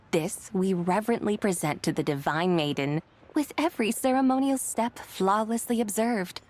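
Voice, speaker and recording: A woman voicing an ancient, gracious immortal in a video game, with a formal, measured, old-fashioned manner. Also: mid-range voice, close to an everyday voice. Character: ancient, dignified adeptus woman, gracious and lofty.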